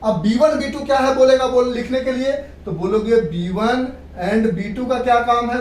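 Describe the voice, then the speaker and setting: A woman's voice: fairly high pitch, darkish tone. Echoey, distant female physics teacher. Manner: instructive, repeats and checks understanding; mixes in English terms. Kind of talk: classroom lecture. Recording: echoey room, distant mic, background noise.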